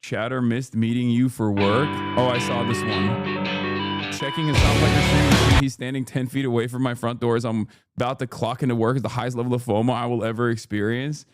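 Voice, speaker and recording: A man in his thirties from Turkey, streaming and riffing on chat messages in a loud, joking, slangy way with plenty of swearing. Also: medium pitch, fairly even intonation, fairly quick, over loud music. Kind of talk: casual livestream chat.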